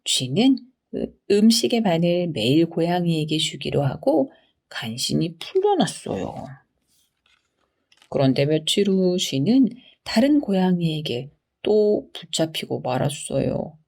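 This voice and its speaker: A woman reading scripted bedtime fairy tales for children in an expressive storytelling manner. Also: lowish voice, unhurried, vocal fry. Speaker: storybook reader.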